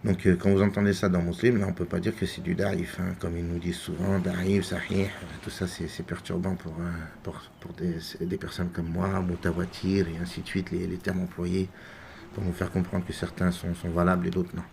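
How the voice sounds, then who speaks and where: Man, from France, very low voice, gravelly, halting, repeats words. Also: flat delivery, background noise. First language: French. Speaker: religious video maker. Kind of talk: unscripted religious commentary.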